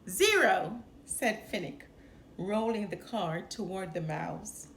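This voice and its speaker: A woman reading a children's storybook aloud with expressive, deliberate phrasing. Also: fairly low pitch. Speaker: storybook reader. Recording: roomy echo, some background noise.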